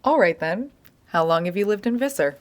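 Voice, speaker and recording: Woman playing a calm, probing American interviewer. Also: mid-range voice, fairly quick, natural voice. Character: patient, probing woman interviewer recording an oral history. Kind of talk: naturalistic audio drama interview.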